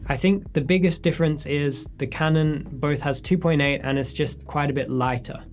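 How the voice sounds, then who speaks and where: A man in his thirties, a photographer, answering viewer questions in a relaxed, reflective sit-down chat in his studio. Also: on the high side, moderate pace. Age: 30s. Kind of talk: casual sit-down Q&A. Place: his studio space, indoors.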